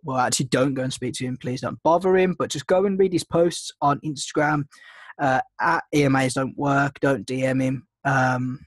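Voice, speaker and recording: A man's voice: mid-range voice, fairly even intonation, normal speed, dry recording. A man with a slight cold, casually teaching a trading webinar, rambling with lots of ums and restarts. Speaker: trading mentor hosting a webinar. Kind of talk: casual screen-share teaching.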